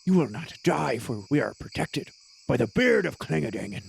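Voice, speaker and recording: A woman voicing a gruff, simple male warrior with blunt, solemn declarations and a gravelly, husky voice in a tabletop game. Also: extremely low pitch, very animated, normal speed, darkish tone, a little hiss or hum, full character voice. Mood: solemn, boastful.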